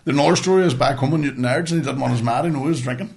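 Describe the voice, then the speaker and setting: A man's voice: mid-range voice, racing, vocal fry, darkish tone. Rambling Northern Irish man telling stories. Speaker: podcast co-host. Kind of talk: casual storytelling banter, sweary.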